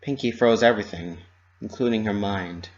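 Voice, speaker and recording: A narrator giving an expressive, theatrical dramatic reading of a story. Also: medium pitch, normal speed, muffled recording. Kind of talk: dramatic reading of fan fiction.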